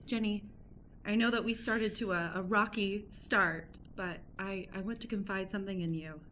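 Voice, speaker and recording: A woman playing a nosy, earnest, awkward journalist in an improvised comedic radio play, halting and polite with frequent stammers. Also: mid-range voice, fairly even intonation, a bit bright, a little hiss or hum, close to an everyday voice. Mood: confiding.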